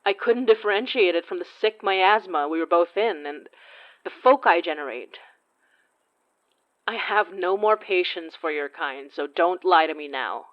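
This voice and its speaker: An actress playing a wary, blunt occult investigator in an audio horror drama, controlled and accusing. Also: female voice, fairly low pitch, lively intonation, a little slow, lo-fi sound. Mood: stern, impatient.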